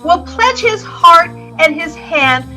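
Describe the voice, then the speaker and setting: A woman's voice: very high-pitched, expressive, slow pace, sharp tone, soft background music, full character voice. A woman playing a pompous, impassioned club president giving a grandiose speech in an old-time radio drama. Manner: oratorical, grandiose.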